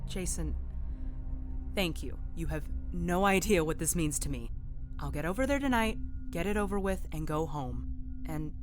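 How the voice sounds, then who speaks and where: A woman's voice: on the low side, lively intonation, normal speed, pauses often, soft background music, lightly acted. A woman playing a determined, troubled private investigator and former cop in an audio drama, speaking in an insistent, defensive, colloquial way. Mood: relieved, reassuring.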